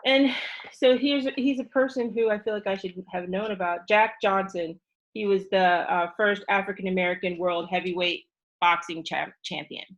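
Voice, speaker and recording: A woman's voice: mid-range voice, fairly even intonation, moderate pace, lo-fi sound. A woman hosting an interactive storytime lesson, prompting her listeners with questions as she talks through a book. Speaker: storytime host and teacher. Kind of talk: interactive read-aloud discussion.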